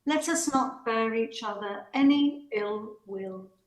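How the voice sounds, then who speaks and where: A woman playing a restrained, elegant married lady, wistful yet resolute, in a measured amateur play reading. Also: fairly high pitch, echoey room. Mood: frank, tender.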